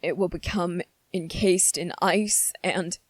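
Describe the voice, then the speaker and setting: A woman voicing a gentle, anxious young prince in a fantasy ASMR roleplay, speaking politely and formally with hesitant, halting phrasing. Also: lowish voice, fairly even intonation, dry recording. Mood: grave, reluctant. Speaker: voice actor in audio roleplay. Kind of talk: ASMR character roleplay, scripted fantasy monologue.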